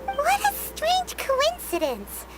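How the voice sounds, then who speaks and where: An actress voicing a tiny childlike fairy companion in a video game, squeaky, chatty and exclamatory. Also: female voice, huge pitch swings, slow, heavily acted, rough recording. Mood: amazed. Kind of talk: video game story voice acting. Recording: very loud music behind the voice.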